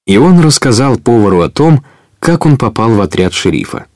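A man narrating a Russian audio drama as the storyteller of an adventure tale, in a measured manner. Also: medium pitch, big pitch swings, a little mellow, no room sound, clean studio sound, lightly acted. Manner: measured, clearly told storytelling.

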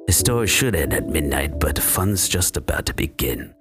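A man's voice: extremely low pitch, very animated, normal speed, over loud music, full character voice. A man playing a macabre, sardonic old uncle who tells gruesome bedtime stories in a film prologue. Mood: sinister, gleeful. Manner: sinister, sardonic, gleefully morbid storytelling.

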